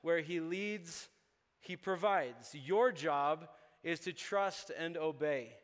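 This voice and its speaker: Pastor guy from uganda preaching, kinda high voice, long echo in the hall, acts out lines. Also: takes it slowly. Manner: animated, acts out dialogue with chuckles. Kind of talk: sermon.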